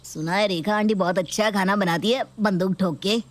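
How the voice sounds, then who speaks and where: A woman's voice: medium pitch, steady tone, moderate pace, close to an everyday voice. A woman voicing a cocky, protective young male thug with a blunt, bragging manner in a story video. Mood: brash. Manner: blunt bragging with a repeated gun catchphrase. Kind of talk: dramatised Hindi story video.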